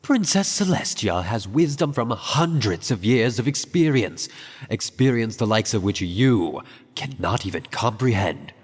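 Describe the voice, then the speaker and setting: Man playing a pompous, husky royal chamberlain. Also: fairly low pitch, sing-song, unhurried, heavily acted. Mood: scornful, sarcastic. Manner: pompous, condescending, sarcastic. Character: haughty older male pony royal chamberlain. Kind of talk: fan-made radio drama acting. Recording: long hall reverb.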